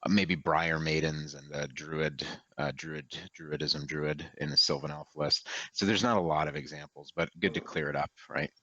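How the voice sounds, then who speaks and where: A man's voice: very deep voice, steady tone, moderate pace, few pauses, sharp tone, no room sound, dull audio. A man co-hosting a casual chat about a tabletop wargame, talking in an easygoing, rambling way with many fillers. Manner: easygoing, rambling, full of "you know" and "um". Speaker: co-host.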